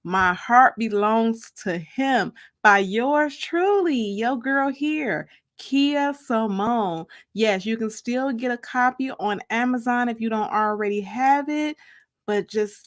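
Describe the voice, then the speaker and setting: A woman's voice: a little high, takes it slowly. An American woman from Detroit hosting a livestream and reading aloud from her own book as its author. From Detroit, United States.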